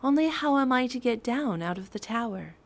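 A woman voicing an innocent young maiden in a fairy tale, speaking in a lifted, gentle, eager voice. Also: a little high, lively intonation, brisk, voice pushed up.

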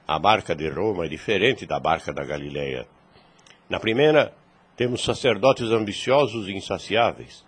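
A man's voice: low-pitched, husky. An actor playing a wise, fatherly priest in a radio drama, solemn and preachy, in formal archaic phrasing.

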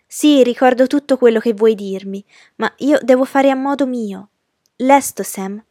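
A woman's voice: a little high, measured pace, warm tone, no room sound, voice pushed up, lightly acted. Spoiled young noblewoman, acted by a female narrator: arrogant, impatient, giving orders, dry close recording. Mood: haughty, impatient. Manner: haughty, commanding.

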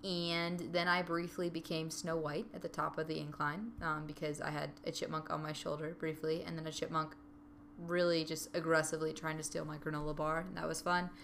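A woman's voice: low-pitched, fairly quick, creaky, a little hiss or hum. A woman chatting casually and animatedly about a hike, acting out her own reactions. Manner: animated storytelling, acts out her own quotes. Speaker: hiker recounting a trip. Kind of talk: casual chat.

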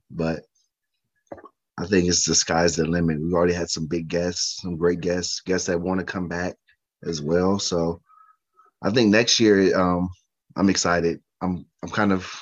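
A man on a sports podcast who talks eagerly but haltingly, with many fillers. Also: sits low, little pitch movement, dull audio. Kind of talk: casual sports podcast chat.

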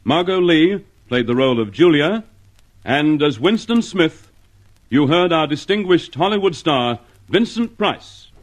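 Formal, higher-pitched male radio announcer. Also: animated, slight background noise. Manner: formal, measured. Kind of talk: formal 1950s radio announcing, read credits. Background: music.

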